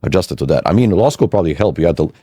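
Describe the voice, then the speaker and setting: Low, dark-voiced man. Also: lively intonation, rapid-fire, breathy edge, no echo, clean studio sound. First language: Serbian. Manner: rambling, many restarts. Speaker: podcast host. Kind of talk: casual interview chat.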